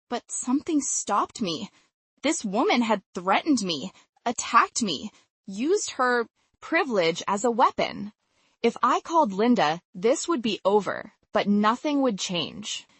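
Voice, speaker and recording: A woman's voice: a little high, a bit bright, breathy edge, no echo. A woman storyteller reading a scripted, dramatic first-person narration.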